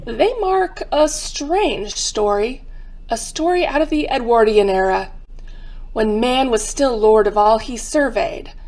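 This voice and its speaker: Woman as slow, solemn radio mystery narrator. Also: on the high side, expressive, darkish tone, low-quality recording. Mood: macabre, theatrical. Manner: theatrical, deliberate. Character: solemn, macabre museum guide narrating murder stories. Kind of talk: dramatic old-time radio narration.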